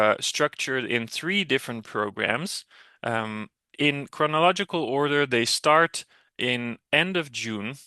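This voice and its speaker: Bright, crisp male panel host. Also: medium pitch, no echo. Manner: measured, many fillers and restarts. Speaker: host, program director. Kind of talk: panel moderation and program overview.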